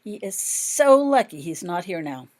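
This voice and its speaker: A woman's voice: fairly low pitch, sing-song, a little slow. A woman voicing an indignant, stubborn schoolgirl in an audiobook, grumpy and protesting. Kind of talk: audiobook character dialogue.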